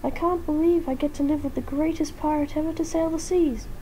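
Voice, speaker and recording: A woman's voice: high-pitched, steady tone, brisk, dark tone, a little hiss or hum, voice pushed up, natural voice. A woman voicing a cheerful, gushing female animatronic fox in an amateur fan fiction reading. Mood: overjoyed. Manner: gushing, eager.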